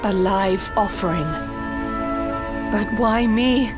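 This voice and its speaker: A woman as a very slow, gentle village mother. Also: mid-range voice, lightly acted. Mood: sorrowful. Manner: measured, formal, poetic. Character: gentle, resigned village mother chosen for sacrifice. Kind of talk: video game cutscene voice acting. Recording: loud music behind the voice.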